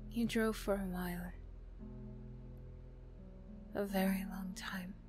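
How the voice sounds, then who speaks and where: Slow, hushed woman narrating ASMR. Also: medium pitch, fairly even intonation, over music. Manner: hushed, breathy, measured. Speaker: narrator and voice performer. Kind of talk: soft ASMR story narration in second person.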